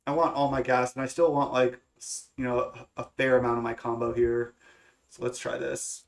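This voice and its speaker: Young man, stuffy nose, hesitant, lots of ums, casual game commentary, average pitch. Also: flat delivery, normal speed, vocal fry. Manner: thinking aloud, hesitant with many fillers and restarts. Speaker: gaming content creator. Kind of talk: casual play-by-play of a card game.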